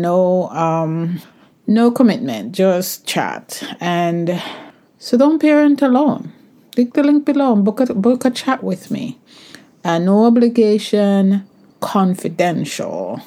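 Mellow, slow-talking woman reassuring listeners. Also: on the low side. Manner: reassuring, repeats herself. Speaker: podcast host. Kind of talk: promo read for her coaching calls.

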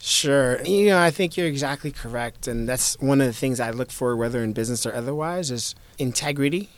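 A man in his thirties from the United States, an investor guest talking reflectively in an interview, in a measured way with repeated starts. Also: medium pitch. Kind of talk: conversational interview.

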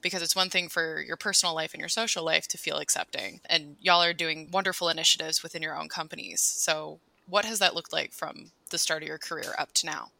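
A moderator, asking a panel questions in an encouraging way. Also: female voice, deep voice, steady tone, brisk, creaky, hardly any pauses, sharp tone, no room sound.

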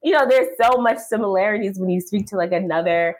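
A woman's voice: medium pitch, quick pace. A woman in her thirties from the United States, chatting casually as a podcast guest and laughing often. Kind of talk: casual interview chat.

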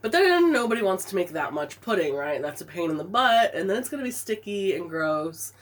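A woman's voice: medium pitch, brisk. A woman co-hosting a podcast, telling a story in casual chat with lots of laughter while fighting a stuffy head cold. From Pacific Northwest. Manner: animated storytelling, laughing often. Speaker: podcast co-host.

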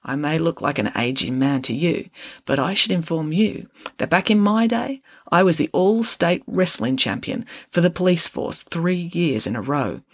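A reader puts on a proud, aging police chief for a storybook. He speaks firmly and formally, rising in pitch as he presses a point, with a slightly husky tone. The sound is close and dry. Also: fairly bright tone, no room sound, full character voice. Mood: boastful. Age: older. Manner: firm, formal, unhurried.

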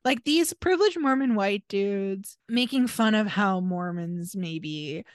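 Woman, podcast co-host, casual recap chat, opinionated, informal slang, middling pitch, very dry close sound. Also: animated, slow pace, no echo.

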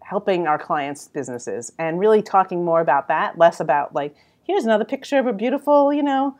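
A woman's voice: fairly low pitch, lively intonation, a little fast, a little mellow. A woman with a New York accent, a creative business consultant speaking as a podcast guest in a direct, emphatic way. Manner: straight-talking, emphatic. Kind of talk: interview conversation.

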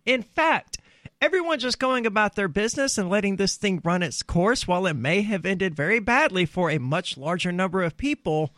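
High-pitched talk radio host guy, blunt and sarcastic, dry studio sound. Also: expressive, normal speed, fairly bright tone, no room sound. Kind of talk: opinionated talk radio chat.

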